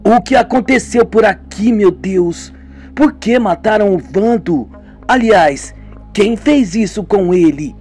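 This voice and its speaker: A man's voice: sits high, a little mellow, breathy edge, voice pushed up, heavily acted. A man voicing an anxious young woman asking questions, in a lightly raised voice, in a homemade radio drama.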